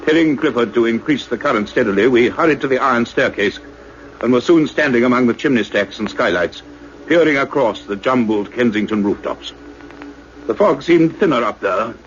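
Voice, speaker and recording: Actor as earnest scientist narrator in dull, noisy recording. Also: male voice, medium pitch, animated, natural voice. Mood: grave suspense. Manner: measured, literary, suspenseful delivery. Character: male scientist doctor, earnest, urgent, narrating his own adventure. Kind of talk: dramatic first-person radio play narration.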